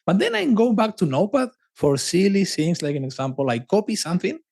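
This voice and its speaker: A man from Argentina, a native Spanish speaker with an Argentine accent, talking as a podcast guest in an animated, repetitive, conversational way. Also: sits high, brisk, gravelly. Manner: animated, with frequent restarts and emphatic repetition. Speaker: podcast guest, cloud advocate. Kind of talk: casual conversational interview.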